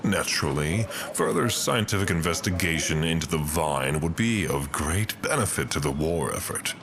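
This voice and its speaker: A man's voice: very deep voice, expressive, normal speed, background noise. An actor playing a precise, guarded male scientist.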